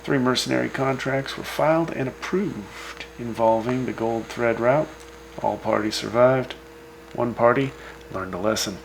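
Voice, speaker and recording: Gravelly deadpan radio host, man. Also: medium pitch, unhurried, a little hiss or hum, low-quality recording. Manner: dry, deadpan delivery with wry asides. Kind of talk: scripted radio news broadcast.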